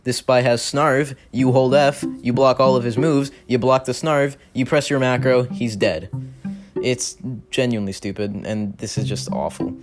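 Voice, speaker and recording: A young man giving blunt, slangy, exasperated opinions in a gaming commentary voice-over. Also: medium pitch. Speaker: gaming video creator. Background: music.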